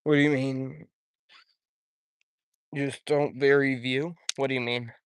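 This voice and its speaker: A young man chatting loosely while he fishes by a pond, rambling from one thing to the next and answering his viewers as he goes. His voice sits at an ordinary middle pitch, and the recording is dry and close with no room sound. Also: steady tone, a little slow, smooth, no echo. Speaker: fishing YouTuber. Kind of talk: live-stream chat while fishing. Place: outdoors by a pond.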